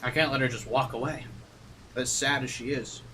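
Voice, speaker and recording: A man's voice: medium pitch, fairly even intonation, normal speed, bright, forceful tone. Casual male streamer, distant mic with hiss. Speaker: streamer playing a visual novel. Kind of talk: casual commentary mixed with reading narration aloud.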